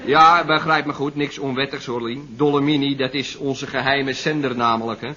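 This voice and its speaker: A man acting a sharp-voiced, rambling young hipster. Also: medium pitch, fairly even intonation, normal speed, close to an everyday voice. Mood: cocky rambling. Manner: rambling, slangy, full of tags like "you know". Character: rambling, slangy young male beatnik pop musician and radio pirate. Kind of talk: 1970s Dutch radio comedy acting. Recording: muffled old radio recording.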